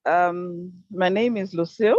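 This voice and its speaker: An older woman from Zambia giving an earnest, deliberate talk on an online conference call, stressing key words. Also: fairly low pitch, fairly even intonation, darkish tone, dry recording. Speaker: panel speaker. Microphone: video call. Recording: dull dry video call audio.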